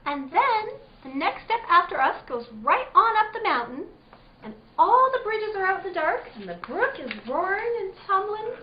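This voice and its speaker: A woman's voice: extremely high pitch, very animated, normal speed, slight background noise. An actress playing a fey, eerie country girl in a spooky radio drama, speaking in dreamy, ominous tones. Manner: dreamy, ominous rustic storytelling. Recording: dull lo-fi audio in echoey room.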